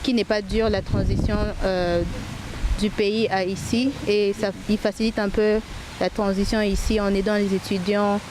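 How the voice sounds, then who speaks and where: A woman's voice: medium pitch, flat delivery, normal speed. A young woman from Kinshasa with a Congolese French accent, answering questions hesitantly with many fillers. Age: young adult. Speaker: student interviewee. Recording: noisy outdoor recording with wind.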